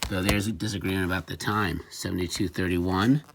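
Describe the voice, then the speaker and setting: A man giving a casual, off-the-cuff gadget demo, wry and excitable, talking to himself as he tinkers. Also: low voice, brisk, noisy background. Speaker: vintage electronics seller demonstrating a device. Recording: music playing behind the voice. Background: music from the player being tested.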